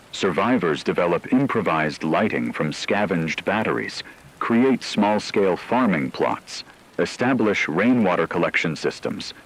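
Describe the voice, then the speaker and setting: Guy doing a deep raspy radio reporter for an apocalypse drama, solemn n measured, very formal. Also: full character voice, low-quality recording. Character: grave male radio correspondent reporting a global catastrophe. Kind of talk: scripted radio drama news narration.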